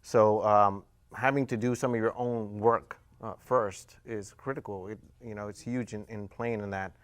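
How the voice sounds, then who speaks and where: A man answering as a panel guest in an interview, speaking haltingly as he searches for words. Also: fairly low pitch, steady tone, dry recording, studio quality. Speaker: panel guest, juvenile justice volunteer.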